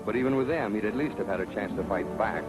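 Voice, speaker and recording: A man's voice: on the low side, fast, low-quality recording. A man narrating a 1940s training film in an authoritative, sardonic manner. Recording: old 1940s film soundtrack with music.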